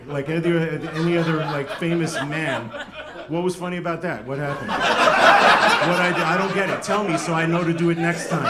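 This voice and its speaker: Man, middle-aged, stand-up comic, dry self-deprecating delivery, echoey club room, clear background noise. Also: a little high. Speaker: stand-up comedian. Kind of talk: stand-up comedy set. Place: comedy club stage with a laughing audience. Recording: roomy club sound with loud background.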